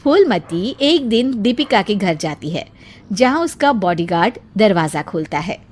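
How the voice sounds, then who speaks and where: A woman's voice: mid-range voice, very animated, unhurried, a little mellow. A woman narrating a scripted children's story as its storyteller.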